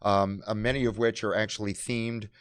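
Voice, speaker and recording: Give this presenter a lowish male voice with a halting, filler-strewn delivery. He talks off the cuff as he shows products. The sound is very dry, with no room to it. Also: little pitch movement, clean tone, no echo. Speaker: presenter of library program kits.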